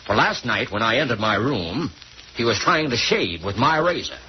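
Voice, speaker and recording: An actor playing a guilt-ridden, pleading sailor in an old-time radio melodrama, agitated and halting. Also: male voice, medium pitch, very animated, close to an everyday voice. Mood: confessing. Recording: muffled, noisy old radio recording.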